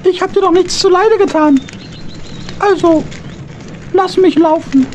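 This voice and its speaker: A tiny cartoonish hedgehog in a children's radio play. The voice is extremely high and squeaky, brave but quick to panic. There is faint noise on the old recording. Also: steady tone, darkish tone. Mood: pleading. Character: small brave hedgehog, plucky but easily frightened.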